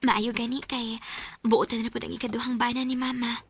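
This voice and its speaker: A woman's voice: a little high, little pitch movement, fairly quick, breathy edge. A woman playing a polite, trusting schoolgirl daughter in a radio drama, with a Filipino accent. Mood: reflective.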